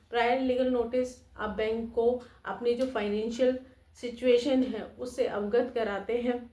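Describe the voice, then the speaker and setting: Higher-pitched woman in an echoey room. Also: a little mellow. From India. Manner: direct, instructive, addresses the viewer. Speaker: lawyer giving legal advice, channel host. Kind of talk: explanatory legal advice to viewers.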